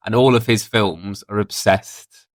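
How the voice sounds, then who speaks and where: A British man speaks in a fairly low voice as a guest on a podcast. He explains his thoughts reflectively and informally, in a dry, close recording. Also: measured pace, no echo. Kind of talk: casual discussion.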